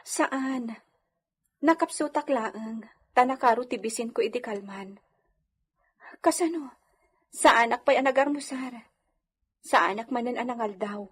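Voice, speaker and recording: A woman's voice: a little high, unhurried, long pauses, husky. A woman acting a meek, devoted young wife in an Ilocano radio drama, with an Ilocano accent. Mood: hurt, gently pleading.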